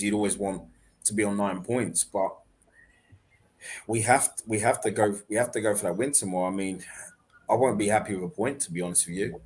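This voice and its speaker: A man chatting casually on a football podcast, relaxed and hesitant with fillers. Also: fairly low pitch. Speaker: podcast co-host.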